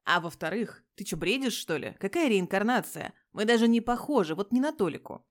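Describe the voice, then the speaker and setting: A woman acts out a prickly male police major from an audio story. He talks loudly and emphatically, with indignation and coarse street slang. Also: medium pitch, animated, a little fast, lightly acted. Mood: indignant. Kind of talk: audio story character dialogue.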